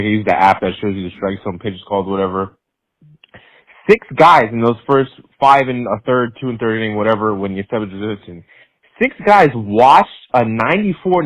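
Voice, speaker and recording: Male caller, medium pitch, rambling exasperated voicemail, casual speech, very little room sound. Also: dry recording, poor audio.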